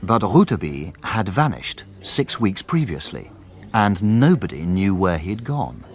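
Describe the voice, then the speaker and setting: Low, expressive storytelling lawyer. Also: male voice, measured pace, exaggerated voice. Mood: reflective, wry. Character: thoughtful male lawyer recounting the tale, gently wry. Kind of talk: scripted radio drama narration.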